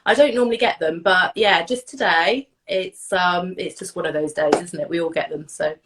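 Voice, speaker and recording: A woman's voice: fairly low pitch, normal speed, a bit bright, poor audio. A woman in her forties, a bit stuffed up from a cold, chatting as an interview guest in a rambling way over a video call. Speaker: guest, therapist and coach. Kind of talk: casual interview chat.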